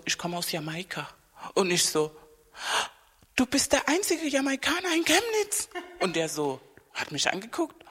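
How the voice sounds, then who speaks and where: A woman at a mid pitch telling stories with lively energy in casual conversation. The room adds a long reverb to her voice. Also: husky. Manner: lively anecdotal storyteller.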